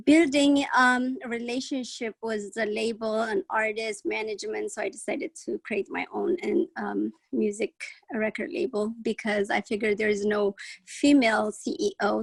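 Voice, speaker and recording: A middle-aged woman from Ethiopia, a record label head, talking casually as a panel guest over a video call, halting with many pauses and restarts. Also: fairly high pitch, creaky, no room sound, rough recording.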